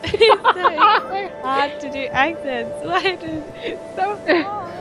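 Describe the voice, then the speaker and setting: A woman's voice: extremely high pitch, lively intonation, takes it slowly, pushed and bright, faint music behind, a faint crowd. A woman with an Australian accent co-hosting a casual gameplay chat, giggly and chatty. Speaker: co-host.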